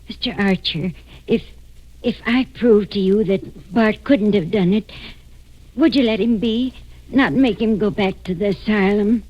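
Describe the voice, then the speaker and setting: An actress playing an anxious mother who pleads haltingly to protect her fugitive grown son, in 1940s radio drama style. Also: medium pitch, a little mellow, natural voice. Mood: anxious pleading. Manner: halting, distressed, earnest pleading. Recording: dull lo-fi vintage radio recording.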